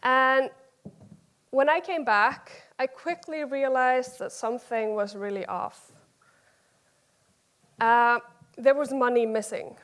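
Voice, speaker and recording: The high-pitched Icelandic woman you would hear on stage at a business conference, telling her own story informally and a little haltingly. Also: a bit bright. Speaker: conference speaker.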